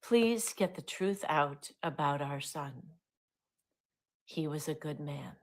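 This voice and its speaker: A grieving mother reading out a formal statement in a grave, measured way. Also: deep voice, animated. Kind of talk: read statement.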